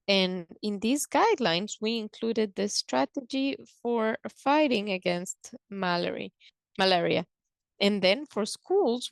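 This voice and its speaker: A woman presenting and explaining in a measured, halting way, pausing between short phrases. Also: mid-range voice, no echo. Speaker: presenter on a conference call.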